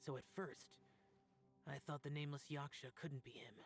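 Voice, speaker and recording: A man's voice: fairly high pitch, steady tone, normal speed, close to an everyday voice. A man voicing a solemn, aloof young immortal demon-slayer in a fantasy game, speaking in a grave, guarded, restrained way. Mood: grave, resolute. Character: solemn, aloof young male immortal demon-slaying warrior burdened by karma. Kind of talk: scripted fantasy game acting. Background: background music.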